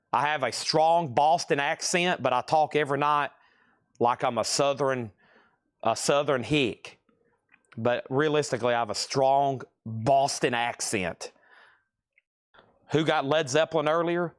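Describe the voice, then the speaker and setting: A man hosting a live record sale stream, briskly listing items and current bids with chatty asides to viewers. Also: a little high, a bit bright.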